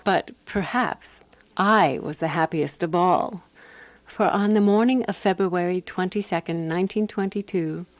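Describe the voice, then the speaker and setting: A woman actor playing a composed, wry woman who narrates a radio drama in a measured, dry manner. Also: lowish voice, no echo, lightly acted. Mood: dry, satisfied.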